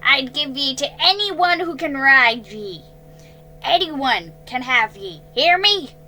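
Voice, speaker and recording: A woman reading aloud as a snarling, bad-tempered old tanner, loud and menacing. He barks short threats and growls every warning. The voice keeps its bright, forceful edge. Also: a little high, takes it slowly, faint music behind, heavily acted, poor audio. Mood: shouting.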